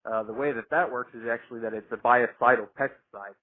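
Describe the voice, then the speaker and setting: A man giving an informal technical explanation as a products specialist, halting and repeating words as he goes. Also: medium pitch, steady tone. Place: conference call. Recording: muffled, band-limited conference call line.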